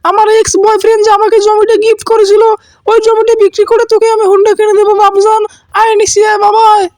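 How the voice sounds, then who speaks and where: A man's voice: very high voice, completely flat delivery, fast talker, a little mellow, dry recording, clean studio sound, voice pushed up. A man voicing a doting, anxious mother in a comedy cartoon, pleading and gushing with endearments. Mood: placating.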